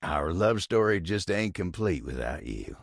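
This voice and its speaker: A man voicing a gentle, lovestruck living table in a video game, with a gravelly, husky Southern American drawl and a bashful, earnest, folksy manner. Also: low-pitched, sing-song, measured pace, no room sound, studio quality, heavily acted. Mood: tender, easygoing. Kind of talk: video game character acting.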